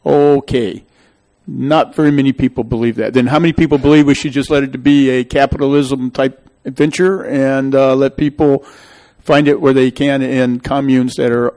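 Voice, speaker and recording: Middle-aged man, local meeting host, emphatic opinionated rambler, average pitch. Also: fairly even intonation, normal speed, warm tone. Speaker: local official hosting a public meeting show. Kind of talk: town meeting discussion, opinionated.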